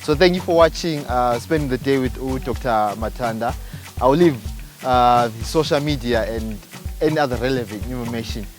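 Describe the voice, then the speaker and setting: Male host, mid pitch, friendly outro, music bed. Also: normal speed. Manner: friendly sign-off, hesitant fillers. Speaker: channel host. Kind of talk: outro to camera. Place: outdoors on a farm. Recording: background music.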